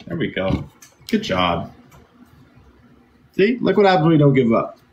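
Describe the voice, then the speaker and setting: A man's voice: a little high, sing-song, a little slow, highs cut off, slight background noise. A young man hosting a casual live chat game, teasing and playful and loose with his swearing, speaking into a phone camera in a bedroom. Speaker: livestream host. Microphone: phone camera on a live stream.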